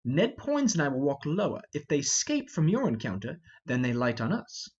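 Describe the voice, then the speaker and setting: A man's voice: fairly high pitch, wildly swooping pitch, normal speed, darkish tone, slightly rough, highs cut off, pitched below the performer's own voice, heavily acted. A man voicing a mocking, cocky young prince with a taunting, commanding manner in an amateur Shakespeare reading.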